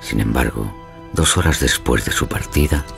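A man's voice: extremely low pitch, moderate pace, gravelly, a bit bright, heavily acted. An actor playing a self-assured, pompous male storyteller, narrating a mystery audio drama in theatrical style. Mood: grave, knowing. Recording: music behind the voice.

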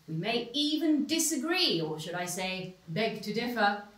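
A woman's voice: mid-range voice, big pitch swings, measured pace, fairly bright tone. An actress playing a polished female TV news presenter in a stage play, heard from a far mic in a small stone-walled theater. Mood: bright. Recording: roomy, distant stage sound.

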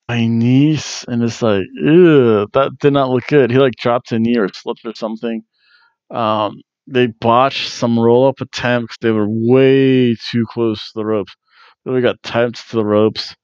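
Slow, chatty man on a headset mic. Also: mid-range voice, no echo, lo-fi sound. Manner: chatty, jokey, acts out crowd and wrestlers. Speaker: wrestling review host. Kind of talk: casual review talk. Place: home room with bookshelves.

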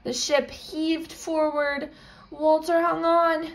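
A woman reading a children's picture book aloud, with expressive, suspenseful storytelling. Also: sits high, slow pace. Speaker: storybook reader.

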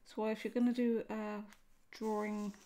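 A woman casually talking through her drawing as she works, relaxed and thinking aloud at a home desk. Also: medium pitch, a little slow. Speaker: art tutorial host. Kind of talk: casual narration while drawing. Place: home desk, seen from above.

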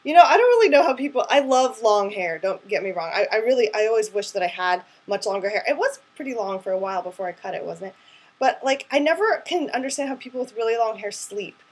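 A woman's voice: a little high, big pitch swings, fast, a little mellow, slightly rough. A woman in her twenties chatting casually to camera as an artist hosting her own show, in a rambling way peppered with "like", recorded in a small room at home. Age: 20s.

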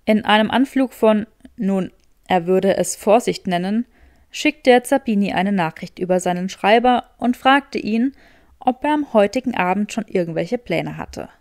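Female audiobook narrator, medium pitch, scripted storytelling, dry recording. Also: lively intonation, normal speed, a little mellow, no room sound.

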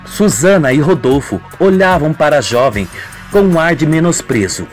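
Animated Brazilian woman narrating soap drama. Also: low voice, normal speed, faint music behind. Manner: melodramatic storytelling. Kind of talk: scripted radio soap narration.

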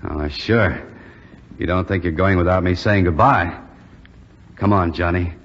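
An actor playing a steady, good-hearted airman buddy in a 1940s radio drama. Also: sits low, unhurried. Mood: reassuring. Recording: muffled, noisy, echoing 1940s radio recording.

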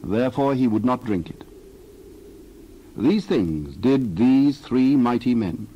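A male narrator with a medium-pitched voice reads scripture in a measured, formal style. There is faint noise in the recording. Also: lively intonation, highs cut off.

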